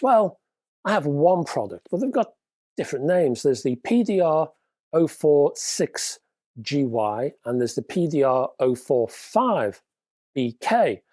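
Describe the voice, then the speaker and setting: A man's voice: fairly high pitch, very animated, measured pace, darkish tone, dry recording. A man hosting a hi-fi news roundup, reading out product details in a casual, chatty way with offhand asides. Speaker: host.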